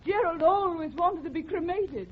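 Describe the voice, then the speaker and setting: An actress playing a melodramatic housewife murderess in 1940s radio comedy, with a theatrical delivery. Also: female voice, very high voice, normal speed. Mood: brisk, matter-of-fact. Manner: theatrical, overwrought. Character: melodramatic housewife murderess, breezy then distraught. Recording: dull 1940s radio recording, audience, hiss.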